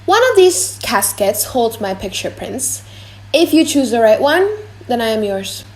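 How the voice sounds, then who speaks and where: Poised, formal noblewoman with a higher voice for an audiobook play played by a woman. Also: sing-song, measured pace, a little mellow. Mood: measured. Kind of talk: audiobook drama reading.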